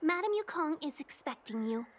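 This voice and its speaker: A woman's voice: high voice, big pitch swings, a little fast. A woman voicing a courteous young female guide in a video game. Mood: polite, bright.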